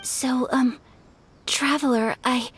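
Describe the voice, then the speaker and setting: A woman playing a refined young noblewoman in a video game, soft, polite and earnest in a measured, delicate way. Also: high-pitched, steady tone, a little hiss or hum. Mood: shy, nervous. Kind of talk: English video game voice acting. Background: game music.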